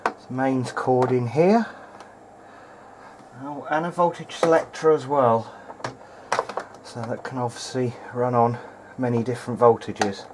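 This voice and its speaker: A man's voice: medium pitch, steady tone, unhurried, long pauses, noisy background. A man thinking aloud in a hesitant way as he gives casual hands-on repair commentary at an indoor workbench. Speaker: hobbyist repairer presenting a video diary.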